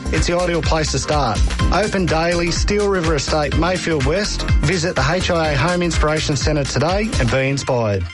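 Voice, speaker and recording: An Australian man reading a scripted radio advertisement as a tradesman's testimonial. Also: a little high. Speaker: builder giving an advertising testimonial.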